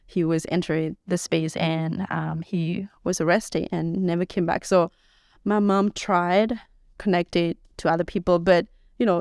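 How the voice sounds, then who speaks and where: Soft-spoken young woman, clear dry voice. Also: on the low side, dry recording. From Thailand-Burma border. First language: S'gaw Karen. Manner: soft-spoken, hesitant, unhurried, many fillers. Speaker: interview guest. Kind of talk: personal life story interview. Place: podcast studio.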